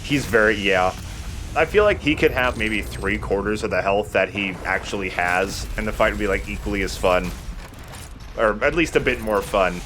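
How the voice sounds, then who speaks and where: A man with a fairly low voice hosts a let's play in a casual, jokey way. He rambles conversationally as he comments on the game, with a little noise behind him. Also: moderate pace, fairly bright tone.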